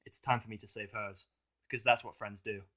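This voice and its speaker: A man's voice: fairly low pitch, fairly even intonation, a little fast, sharp tone. A man reading scripted first-person fiction as the narrator of an audio story.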